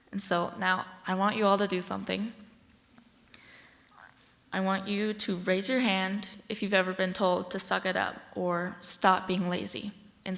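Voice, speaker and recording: A teenage American girl delivers a prepared speech from a stage. Her voice sits at a middle pitch, earnest and deliberate, and rings with the long reverb of a large hall. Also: vocal fry, fairly bright tone. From Washington State, United States. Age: teens, about sixteen. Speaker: youth advocate and speaker.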